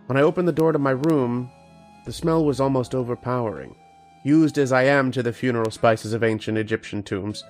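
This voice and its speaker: An actor playing an earnest, haunted archaeologist telling his uncanny tale in old-time radio horror style, with dramatic, deliberate pauses. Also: medium pitch, lightly acted. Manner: dramatic first-person storytelling with deliberate pauses.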